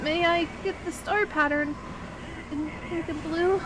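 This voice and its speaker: A woman playing a sweet, bashful young woman talking to her best friend in an ASMR roleplay, expressive and a little hesitant. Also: very high voice, a little slow, background noise. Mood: excited, cute. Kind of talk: ASMR roleplay audio drama.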